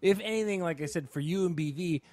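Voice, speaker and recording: A man's voice: sits high, fast talker, darkish tone. A man co-hosting a casual sports and entertainment podcast, talking in an enthusiastic, emphatic, rambling way. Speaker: podcast co-host.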